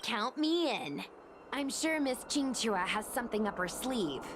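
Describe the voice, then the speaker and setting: A woman's voice: on the high side, animated, a little slow, noisy background. A woman voicing an earnest, supportive young female companion in a video game. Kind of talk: game cutscene acting.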